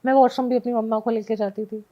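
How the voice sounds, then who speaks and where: A young woman with a high voice tells a first-person story in a casual, conversational way. She slips English words into her sentences. The recording is dull and lo-fi. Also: fairly even intonation, quick pace. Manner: mixes Hindi with English words. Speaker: guest.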